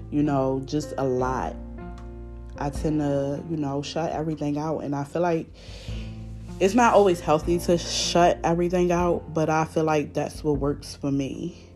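A woman with a Baltimore accent hosting her podcast, talking freely with a raspy voice from a recent illness, rambling with fillers and restarts. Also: low voice, mellow. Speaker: podcast host. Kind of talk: unscripted casual monologue.

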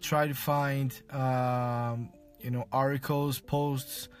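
A man from Brazil, a native Portuguese speaker with a Brazilian accent, hosting a casual solo podcast in a halting, explanatory manner with frequent filler phrases. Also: medium pitch, little pitch movement, soft background music. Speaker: podcast host.

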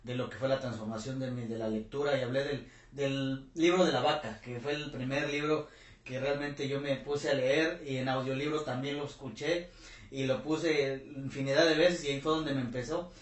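Interviewer, warm and hesitant; roomy recording. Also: male voice, mid-range voice, steady tone. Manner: warm, halting with many fillers. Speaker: interview host, channel host. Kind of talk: casual interview. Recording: roomy echo.

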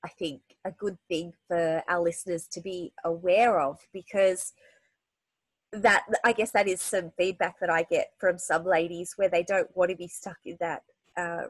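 A woman's voice: on the low side, steady tone, normal speed, slightly rough, no echo. A woman hosting a podcast, talking casually with a stuffy, nasal head cold, full of fillers and restarts. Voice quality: nasal, congested. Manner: chatty, with frequent fillers and restarts. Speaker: podcast host. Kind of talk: casual interview chat.

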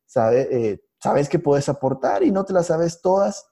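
A man co-hosting a podcast, telling stories in a halting way with many restarts and fillers. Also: fairly high pitch, lively intonation, warm tone. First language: Spanish. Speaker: podcast co-host, IT instructor. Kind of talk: casual podcast conversation.

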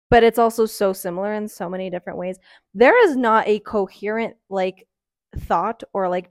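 A woman's voice: medium pitch, no echo. Chatty young woman with a dry, close sound. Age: early 20s. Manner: rambling, frank, many "um"s and "like"s. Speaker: podcaster. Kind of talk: casual solo chat.

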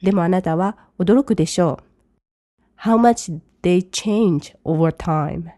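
A woman who teaches English, reading each English line slowly and then translating it briskly. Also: lowish voice, mellow, dry recording, clean studio sound. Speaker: language teacher. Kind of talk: bilingual lesson commentary.

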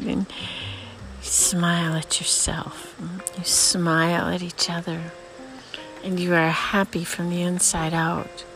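A woman leading a guided visualization meditation in a slow, soothing, spiritual voice that often sinks to a whisper. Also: on the low side. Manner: repetitive, spiritual phrasing.

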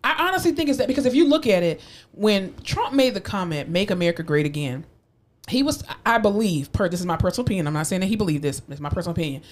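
A woman's voice: medium pitch, expressive, fast talker. Emphatic woman co-hosting a podcast. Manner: emphatic, opinionated, builds to impassioned appeals. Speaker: podcast co-host. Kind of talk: casual podcast discussion.

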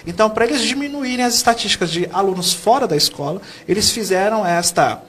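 A man's voice: high-pitched, animated, fast talker, a bit bright, slight background noise. A Brazilian man from São Paulo speaking as a guest educator in a TV interview, explaining earnestly and often posing questions he then answers. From São Paulo state, Brazil.

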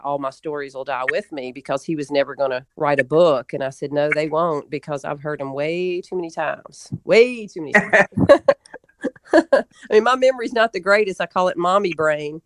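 Low-pitched woman reminiscing, dry close sound. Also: no echo. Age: 40s. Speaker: interview guest. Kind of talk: conversational reminiscing.

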